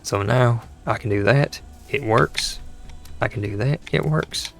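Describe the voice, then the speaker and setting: A man hosting a gaming channel, talking casually over gameplay with a dry throat and a hoarse voice, rambling and exasperated, often restarting his sentences. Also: medium pitch, a little slow, soft background music. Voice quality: gravelly, creaky voice.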